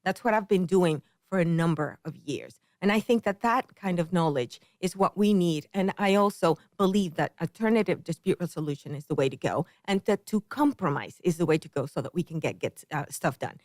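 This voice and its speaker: Middle-aged woman from the Dominican Republic, earnest guest, lowish voice, dry close sound. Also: a little fast, few pauses, a little mellow, dry recording. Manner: earnest, many ums, careful explaining. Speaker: political candidate, guest. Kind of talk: interview answers.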